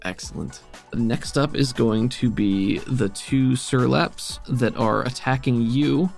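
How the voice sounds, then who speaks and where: A man's voice: mid-range voice, takes it slowly, vocal fry. A man acting as game master, narrating a tabletop roleplaying game in a casual, easygoing, conversational way. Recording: music behind the voice. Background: music.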